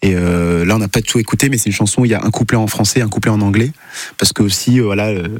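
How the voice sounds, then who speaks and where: A man's voice: fairly low pitch, fairly even intonation, fast, a little mellow, slightly rough, dry recording, studio quality. A man whose first language is Portuguese, a musician guest in a radio interview, thoughtful and searching for words with many fillers.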